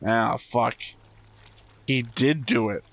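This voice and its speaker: A man's voice: medium pitch, fairly even intonation, takes it slowly, slight background noise. A man hosting a reaction show, commenting casually on what he watches.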